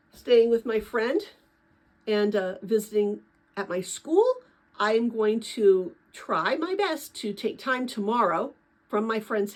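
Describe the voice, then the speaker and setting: Expressive woman reading aloud, slightly husky. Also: a little high, rough recording. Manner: expressive, emphatic storytelling. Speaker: read-aloud host, narrator. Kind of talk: dramatic children's book read-aloud with casual asides.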